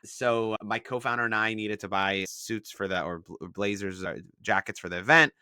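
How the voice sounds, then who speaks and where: A man's voice: on the low side, normal speed, bright, forceful tone, smooth, dry recording, studio quality. A man from Israel and the San Francisco Bay Area, telling his startup story in a casual interview and explaining it with examples. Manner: explains things with examples, frequent "like" fillers. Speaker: startup founder, guest.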